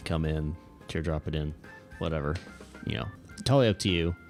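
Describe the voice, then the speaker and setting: Deep, gravelly male livestreamer. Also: a little fast, a little mellow, faint music behind. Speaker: livestream host playing air traffic controller. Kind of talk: casual livestream chat with radio phraseology.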